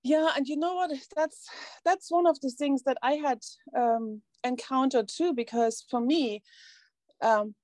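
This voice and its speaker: A woman author whose first language is not English, answering interview questions earnestly, with halting restarts. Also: high voice, darkish tone, dry recording. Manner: earnest, halting, repeats words. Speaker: guest author.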